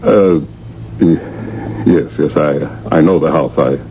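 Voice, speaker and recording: A man playing a guarded real estate agent in a radio drama, halting and grave. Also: extremely low pitch, warm tone, exaggerated voice. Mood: uneasy, grave. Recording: dull, hissy old radio recording.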